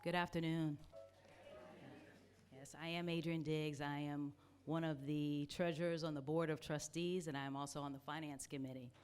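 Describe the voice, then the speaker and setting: A woman's voice: low voice, fairly even intonation, moderate pace, darkish tone, faint music behind. A woman giving an earnest, grateful, formal address to a church congregation as its treasurer. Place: church service before a congregation.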